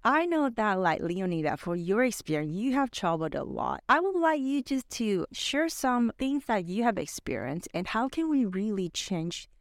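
A woman's voice: medium pitch, normal speed, few pauses, dry recording. Rambling Canadian woman, dry close sound. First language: Mandarin. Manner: rambling, animated storytelling with many fillers. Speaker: podcast co-host. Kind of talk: casual podcast chat.

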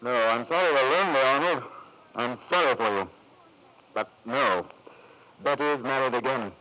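Casting: male actor as firm, dry, unbending man, mid-pitched, vintage radio drama, muffled recording. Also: normal speed, close to an everyday voice. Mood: cool, firm. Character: cool, unyielding man refusing an old associate. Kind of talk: 1950s radio drama acting.

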